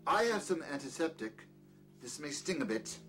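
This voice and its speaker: Man acting a courteous, scheming doctor, highly animated. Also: normal speed, faint music behind. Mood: apologetic, attentive. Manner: courteous, old-fashioned, commanding when crossed. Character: peculiar, scheming doctor, outwardly courteous, domineering to his servant. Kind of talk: audio drama acting.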